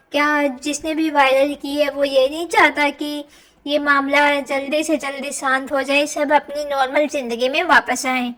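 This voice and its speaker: A woman's voice: high voice, a bit bright. A woman vlogger giving informal gossip commentary in an opinionated, insistent, questioning manner. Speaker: reaction vlogger.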